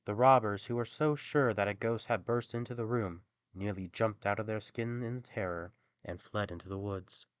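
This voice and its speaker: A man narrating a scripted fairy tale as an expressive, theatrical bedtime storyteller. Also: fairly low pitch, smooth, no echo.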